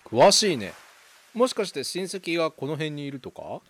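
A man's voice: a little high, big pitch swings, moderate pace, breathy edge. A man playing an upbeat young university student who narrates and chats in an amateur radio drama. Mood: casual. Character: upbeat young male university student recounting summer memories.

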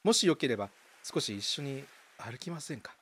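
A man acting an envious, lovelorn young man in a radio drama, earnest, excitable and awkwardly polite. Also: mid-range voice, moderate pace, breathy edge, long reverb tail. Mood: eager, polite. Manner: excitable, earnest, stammering under nerves.

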